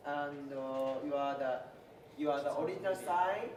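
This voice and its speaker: A man in his twenties pitching his startup in halting speech, full of fillers and restarts. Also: a little mellow. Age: 20s. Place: large event hall. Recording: roomy hall from far off, crowd noise.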